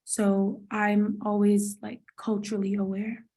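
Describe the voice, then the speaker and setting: A young woman answers thoughtfully as a student on a panel. Her voice is mellow and warm in tone, with little rise and fall. She talks in her own words. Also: medium pitch, monotone, unhurried.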